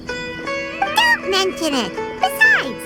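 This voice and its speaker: Squeaky, extremely high fairy sidekick. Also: female voice, very slow, exaggerated voice. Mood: eager, friendly. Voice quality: squeaky, childlike. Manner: chirpy, exclamatory, expressive. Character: tiny childlike fairy companion, perky, helpful, curious. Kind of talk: scripted video game character dialogue. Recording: loud music behind the voice.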